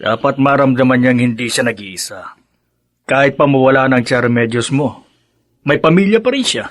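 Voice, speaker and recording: A man playing a caring, philosophical father who gives calm advice in a radio drama. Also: mid-range voice, moderate pace, no echo, lightly acted. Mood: calm, resigned. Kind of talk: Filipino radio drama acting.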